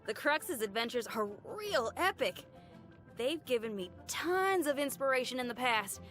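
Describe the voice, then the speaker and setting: A woman voicing a spirited, brash but good-hearted young female rock musician in a video game, chatty and folksy in her expressive delivery. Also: high voice, a little slow, full character voice. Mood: proud, upbeat. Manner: folksy, colloquial, chatty and enthusiastic. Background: background music.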